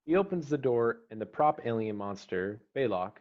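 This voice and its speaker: Casting: male stage-direction reader, medium pitch, terse scripted narration, slightly muffled online-call sound. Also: animated, normal speed.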